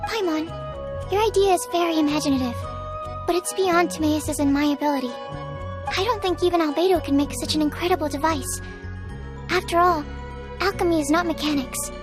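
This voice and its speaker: A woman's voice: sits high, brisk, pauses often, music in the background, lightly acted. A woman voicing a timid, earnest young female alchemist in an anime-style game, hesitant and apologetic. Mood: calm, explanatory. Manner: hesitant, softly explanatory, apologetic.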